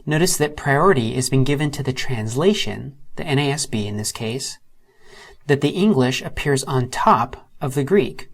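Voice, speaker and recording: A man narrating a screen-recorded software tutorial. He walks through each step methodically and slows down to spell out letters and terms. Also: medium pitch, animated.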